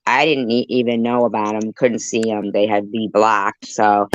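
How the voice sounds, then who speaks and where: A woman chatting casually as a panelist in a halting, rambling way. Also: a bass voice, level tone, a little hiss or hum. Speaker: live chat panelist. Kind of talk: casual chat. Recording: dull lo-fi call audio.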